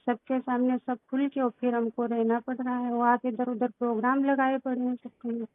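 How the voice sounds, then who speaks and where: Woman, high voice, reproachful and insistent, private argument over a narrow phone line. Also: flat delivery, normal speed, no room sound. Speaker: private caller. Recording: tinny, band-limited recorded phone call.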